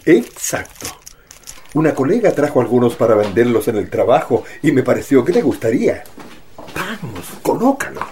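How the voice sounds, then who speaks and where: Man playing a tender, expressive radio-drama father. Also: mid-range voice, normal speed, a little hiss or hum, lightly acted. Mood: cheerful, affectionate. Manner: affectionate, coaxing, emotional. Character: tender, devoted father of a young girl.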